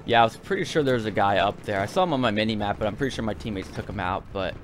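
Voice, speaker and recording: A man's voice: fairly low pitch, racing, faint music behind. A man giving casual video game commentary in a rambling, hesitant way, often repeating himself.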